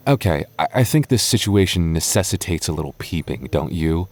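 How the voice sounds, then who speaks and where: Man acting a deep-voiced, hesitant young boyfriend. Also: lively intonation, brisk. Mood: teasing, wary. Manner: hesitant, stammering, soft-spoken intimate delivery. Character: young boyfriend, nervous but affectionate, on a haunted adventure. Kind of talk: boyfriend roleplay ASMR audio drama.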